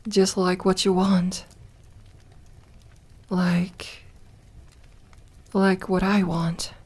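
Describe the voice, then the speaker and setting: A woman's voice: fairly low pitch, a little mellow. A woman playing a lonely, lovestruck vampire girl in an intimate ASMR audio roleplay, tender and hesitant. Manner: hesitant, halting, intimate, sometimes dropping to a whisper.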